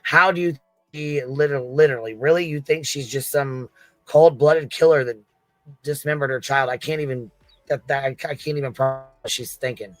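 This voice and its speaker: A man's voice: medium pitch, fairly even intonation, a little fast, dry recording, faint music behind, rough recording. A man hosting a casual true-crime reaction chat, blunt and exasperated, swearing heavily and repeating phrases for emphasis. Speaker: live stream host.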